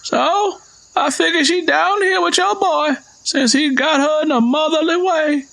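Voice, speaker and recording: Very high, creaky old Southern father. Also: unhurried, pushed and bright, full character voice, poor audio. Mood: accusing, stern. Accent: American South. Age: older. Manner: insistent, blunt, confrontational. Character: stern, stubborn old Southern father with a shotgun.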